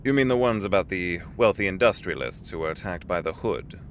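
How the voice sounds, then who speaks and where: An actor playing a courteous middle-aged executive, avuncular and formal, with genteel, deliberate phrasing in an audio drama. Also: male voice, low-pitched, expressive, a little hiss or hum. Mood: weary, curious.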